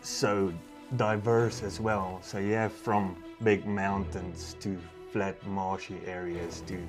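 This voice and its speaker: South african guy, deep voice, chatting about his work as a hunting guide, bit halting, soft music behind. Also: little pitch movement. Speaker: professional hunter, safari outfitter. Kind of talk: casual interview talk.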